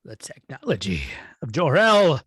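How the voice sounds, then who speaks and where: A man's voice: medium pitch, huge pitch swings, a little slow, a bit bright, slightly rough, dry recording, clean studio sound, heavily acted. A man playing an imperious alien villain, pompous and threatening, in an amateur table read. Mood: awed, covetous.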